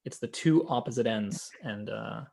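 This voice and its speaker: A man, a rabbi, hosting an interview and speaking in a gentle, appreciative way. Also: fairly low pitch, moderate pace, gravelly, breathy edge. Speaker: rabbi and host. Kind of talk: interview conversation.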